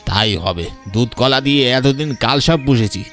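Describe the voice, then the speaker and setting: Man as harsh cartoon uncle, scolding, threatening, lively swooping delivery, music behind. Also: medium pitch, moderate pace, lightly acted. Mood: accusing. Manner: scolding, berating. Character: harsh, accusing village uncle, quick to threaten. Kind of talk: cartoon voice acting.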